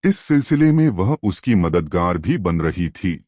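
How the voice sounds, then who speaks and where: A man's voice: low-pitched, sing-song, unhurried, a little mellow, dry recording, clean studio sound. A man narrating a scripted suspense story as a storyteller.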